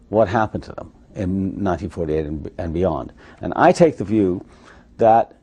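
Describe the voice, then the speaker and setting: A man giving a documentary interview, speaking in a measured, emphatic way. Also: deep voice, warm tone, husky.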